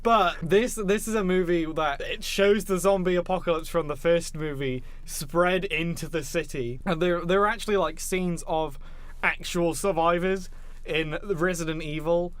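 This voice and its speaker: Man, slightly high voice, podcast co-host, casual film chat, rambling storyteller, enthusiastic, sweary. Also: moderate pace, fairly bright tone, clear, resonant tone, dry recording. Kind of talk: casual chat about films.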